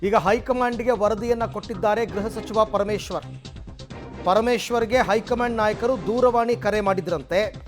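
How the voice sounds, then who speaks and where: A man's voice: high voice, moderate pace, soft background music. A man with a Karnataka Kannada accent anchoring live television news, mixing in English words.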